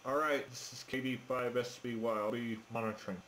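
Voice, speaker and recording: A man chatting casually over a radio link as an amateur operator, speaking in a hesitant, halting way. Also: medium pitch, steady tone, fairly bright tone, slight background noise. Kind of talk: casual ham radio chat.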